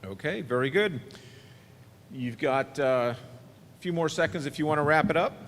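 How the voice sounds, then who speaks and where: A man from moderating a candidate debate, with a loud, confident, announcer-like delivery. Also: fairly high pitch, moderate pace. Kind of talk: moderating a public event. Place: event hall, PA sound system. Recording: long hall reverb.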